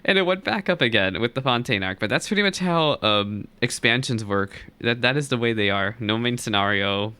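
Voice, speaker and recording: A man's voice: medium pitch, animated, moderate pace, hardly any pauses, sharp tone, clear, resonant tone, dry recording. A young man hosting a gaming reaction video with informal, rambling commentary.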